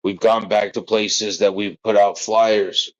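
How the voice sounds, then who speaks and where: Man, deep flat voice, earnest, halting, dull lo-fi interview clip. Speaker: father of a missing child.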